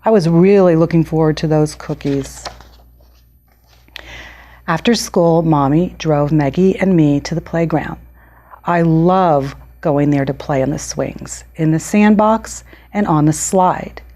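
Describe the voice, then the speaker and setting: An excitable little girl of six tells her own picture-book tale, acted out by a woman. The voice is low and soft-edged for a child. She is happy at heart but quick to get cross. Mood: cheerful, recounting. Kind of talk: picture-book read-aloud.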